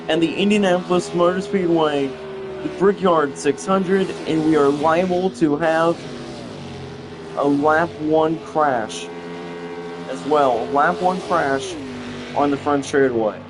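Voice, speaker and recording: A young male commentator calling a simulated stock car race play-by-play, halting and often repeating himself, with engine noise and music behind him. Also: on the high side. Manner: halting, repeats phrases, restarts.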